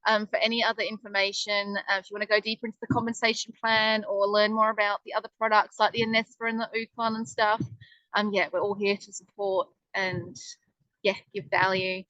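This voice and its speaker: A woman's voice: medium pitch, level tone, brisk, bright, forceful tone, dry recording, highs cut off. A woman presenting a casual webinar in a chatty, upbeat way, with lots of ums. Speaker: online business presenter.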